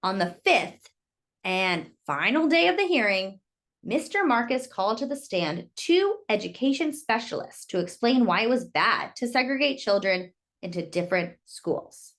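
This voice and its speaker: A woman reading a children's book aloud in expressive storytelling narration. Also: mid-range voice, moderate pace.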